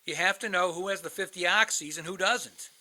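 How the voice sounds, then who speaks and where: A man's voice: sits high, fairly quick, pushed and bright. An actor in a radio play, playing a cynical, bitter older man, a world-weary crisis hotline veteran who likes to philosophize. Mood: jaded.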